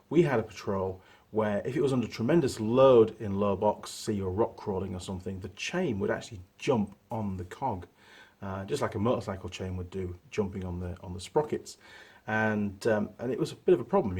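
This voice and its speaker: A man, hoarse from a cold, hosting his workshop channel and chatting casually through a gearbox teardown with plenty of fillers. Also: on the low side. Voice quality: husky. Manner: chatty, relaxed, rambling with fillers.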